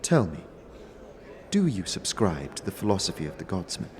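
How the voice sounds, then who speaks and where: A man's voice: deep voice, big pitch swings, normal speed, long reverb tail. A man voicing a proud, cryptic female dealer in arcane magic for a fantasy audio drama, formal and aloof. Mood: guarded, businesslike.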